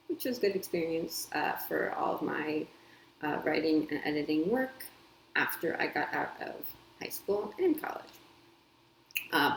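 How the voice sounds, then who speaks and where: A woman's voice: low-pitched, a little hiss or hum. A woman, a copywriter and editor, chatting casually to camera from home with lots of ums and small laughs. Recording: echoey room with faint ambience.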